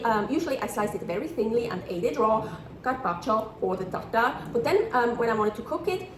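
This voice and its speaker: A woman's voice: on the high side, noisy background. A woman in her thirties from Switzerland, talking about food in a chatty, enthusiastic vlog style. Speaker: vlogger. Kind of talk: casual vlog narration. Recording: roomy echo.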